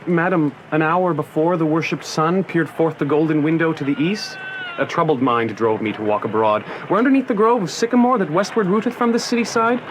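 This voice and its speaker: An actor playing an earnest, loyal young gentleman in classical verse radio drama, articulate and declamatory. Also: fairly high pitch, fairly quick, natural voice. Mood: earnest reporting. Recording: noisy drama recording.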